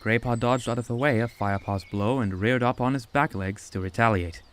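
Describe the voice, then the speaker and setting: A man's voice: mid-range voice, fairly quick, slight background noise. A man reading scripted story narration as an audiobook narrator.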